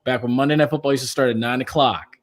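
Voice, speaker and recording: A man in his twenties hosting a casual sports podcast chat, telling stories in an animated way. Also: medium pitch, fast. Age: 20s. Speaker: podcast host.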